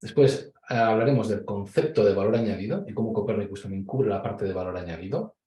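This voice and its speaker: A man with a Spain Spanish accent giving an online conference presentation, measured and explanatory, with frequent hesitations over a video call. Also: fairly low pitch, warm tone. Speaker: conference speaker. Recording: echoey room.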